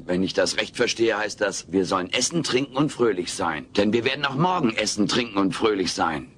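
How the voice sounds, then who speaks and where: A man's voice: lowish voice, lively intonation, a little fast, a bit bright, slight background noise. A man playing a cheeky, naive furry alien houseguest in a comedy audio play, wisecracking and self-assured. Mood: puzzled, playful.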